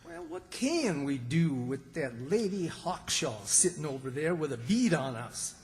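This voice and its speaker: A man's voice: on the high side, very animated, measured pace, darkish tone. A man playing a flustered, folksy older country character with a rural Southern American accent in an old-time radio comedy. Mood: worried. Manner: folksy, fretful, exclaiming. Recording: stage performance in reverberant hall.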